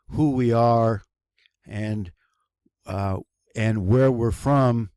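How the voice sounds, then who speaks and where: A man from the United States talks alone to his channel audience in a low voice. He speaks slowly and deliberately, pressing his points like a preacher and often stopping to restart a phrase. The sound is dry and close, with no room echo. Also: mellow, smooth. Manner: slow, deliberate, preachy emphasis with halting restarts. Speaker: channel host. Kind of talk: solo video monologue. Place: cold home running on a generator.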